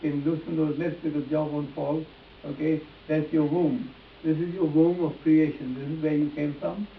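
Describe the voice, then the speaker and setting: A man's voice: on the high side, flat delivery, normal speed. A man giving a live demonstration as an instructor, speaking emphatically and repeating phrases as he addresses volunteers directly. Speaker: face reading instructor. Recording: echoey distant room, muffled, hissy.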